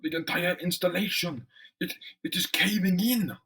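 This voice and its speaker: Man playing a frightened, creaky desert soldier. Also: high voice, moderate pace, far from the mic, background noise, voice pushed up. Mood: panicked. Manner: fearful, dramatic. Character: alarmed, bewildered male desert soldier.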